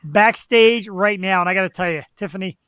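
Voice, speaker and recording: A man's voice: sits high, fairly quick, bright, forceful tone, clear, resonant tone, dry recording, clean studio sound. A man hosting an online talk show with playful, self-deprecating banter and a slightly nasal voice, speaking into a computer microphone. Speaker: host. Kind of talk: casual live-stream chat.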